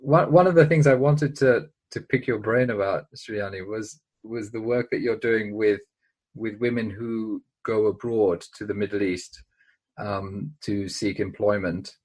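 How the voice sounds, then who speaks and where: A man hosting a podcast, reading a scripted introduction and asking interview questions in a measured way. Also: lowish voice, steady tone, a little mellow, breathy edge, low-quality recording. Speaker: podcast host.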